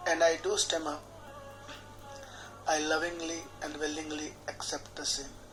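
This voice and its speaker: A man, a healer guiding a tapping session in a slow, measured, soothing way, speaking repeated affirmations phrase by phrase. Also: on the high side, level tone, poor audio. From India. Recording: clear background music under the voice.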